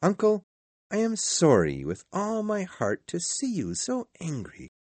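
A man voicing a cheerful, warm-hearted young nephew in a storybook, earnest and exclaiming as he pleads with his uncle. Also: sits high, wildly swooping pitch, measured pace, dry recording, pitched above the performer's own voice, full character voice. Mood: hurt but kindly. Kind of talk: storybook character voice.

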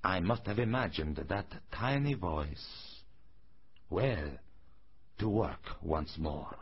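A man's voice: deep voice, expressive, slow, highs cut off, a little hiss or hum, voice pushed down, full character voice. A man voicing an old carpenter in a storybook, muttering to himself hesitantly and easily frightened. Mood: puzzled.

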